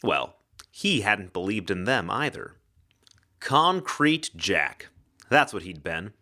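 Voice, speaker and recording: A man's voice: fairly low pitch, very animated, takes it slowly, sharp tone. A man giving a dramatic scripted narration of a hard-boiled mystery story. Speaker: actor reading an audiobook-style story.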